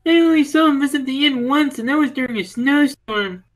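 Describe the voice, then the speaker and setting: A man voicing a nervous, childish fat glutton with a loud, whiny, anxious manner in a comic story. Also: very high voice, a little fast, voice pushed up. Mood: uneasy.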